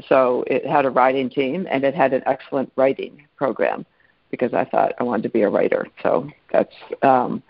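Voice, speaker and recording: Female guest, 60s, American accent, fry in the voice, conversational, dry lo-fi recording. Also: sits low, no room sound. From Minnesota, United States. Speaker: podcast guest. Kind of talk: casual autobiographical interview talk. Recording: dull, lo-fi call audio.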